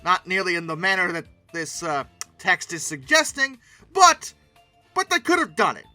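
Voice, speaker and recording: Animated, bright-voiced commentator. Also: mid-range voice, normal speed, no echo, soft background music. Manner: theatrical, with mock-dramatic emphasis. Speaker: host of a paleoart commentary channel. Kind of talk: casual off-the-cuff commentary on pictures.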